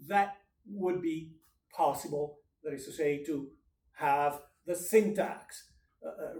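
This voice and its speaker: Echoey, higher-pitched Italian man lecturing. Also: a little mellow. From Italy. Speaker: university lecturer. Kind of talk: lecture explaining course assignments. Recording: echoey room, distant microphone.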